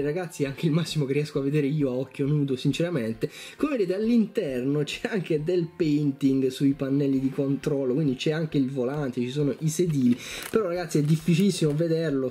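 A man's voice: fairly high pitch, warm tone. A man giving a casual, enthusiastic product review, chatting informally to viewers and often repeating himself. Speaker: toy reviewer, video host.

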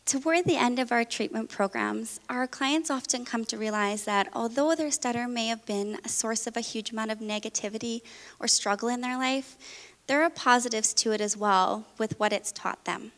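A young woman speech therapist giving an earnest, measured presentation on stage. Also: fairly high pitch, a bit bright. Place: large auditorium stage. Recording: long hall reverb.

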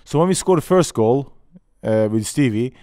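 A man in his forties with a Norwegian accent, a guest telling stories in animated, slightly broken English at a desk mic in a small studio. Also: medium pitch, moderate pace. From Norway. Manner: animated storytelling, gesturing, some broken English. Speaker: guest, former footballer. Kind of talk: casual podcast chat. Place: small studio with colourful backdrop. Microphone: desk mic on stand.

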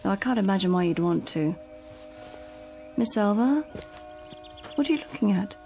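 A woman's voice: mid-range voice, lively intonation, moderate pace, gravelly, dark tone. A woman acting in a radio drama as a fussy, scolding caretaker looking after an old lady. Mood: wary, curious. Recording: music behind the voice.

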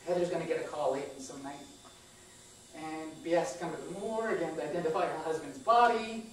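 A woman's voice: low-pitched, fairly quick. A woman in her thirties reading a personal monologue aloud on a small theater stage. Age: 30s. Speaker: performer at a live stage reading. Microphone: distant camera microphone. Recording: echoey theater, far-off camera, faint noise.

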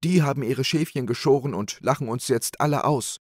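A man's voice: medium pitch, a little fast, dry recording, clean studio sound, voice pushed up, close to an everyday voice. A man voicing a scheming, boastful male innkeeper in a storybook tale, speaking in an agitated, pleading way.

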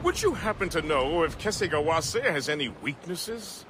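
A man's voice: a little high, sing-song, normal speed, slight background noise. An actor playing an eager, talkative first mate, deferential to his captain, in a video game drama. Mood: brisk, eager. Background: loud music.

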